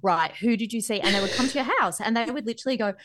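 A woman's voice: a little high, very fast, noisy background. A woman in her thirties with an Australian accent, chatting casually and jokily as a podcast co-host. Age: 30s. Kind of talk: casual, joking chat between friends.

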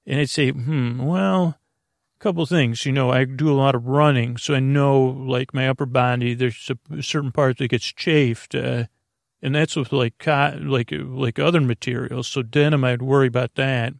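Creaky-voiced man rambling at bedtime. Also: steady tone, no echo, clean studio sound. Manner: meandering, halting, trails off and circles back; reassuring, low-pressure, addresses the listener directly. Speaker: host of a bedtime sleep podcast. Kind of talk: rambling bedtime monologue to help listeners fall asleep.

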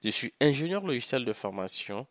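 A young man from Niger, a Hausa speaker, giving a measured, earnest first-person account on a podcast with occasional hesitant fillers. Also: mid-range voice, very animated, no echo. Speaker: podcast guest, social entrepreneur. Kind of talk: first-person podcast testimony, partly read.

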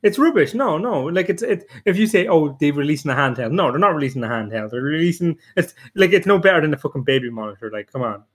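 A man's voice: on the high side, animated, fast talker, hardly any pauses, husky. A man in casual, joking panel chat, bantering and sarcastic, with the odd swear word. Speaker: podcast panelist.

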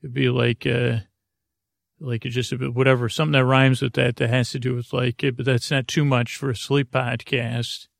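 A man hosting a sleep podcast in a soothing, friendly, meandering monologue, hesitating and looping back on his phrases with a gravelly voice. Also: medium pitch, level tone, normal speed, dry recording, clean studio sound. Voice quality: creaky, gravelly. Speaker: podcast host.

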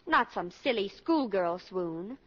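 A woman's voice: medium pitch, expressive, slow. A woman in a 1940s radio drama playing an eager, lovestruck college girl of seventeen, earnest and chatty. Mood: dreamy, earnest. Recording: dull vintage radio recording.

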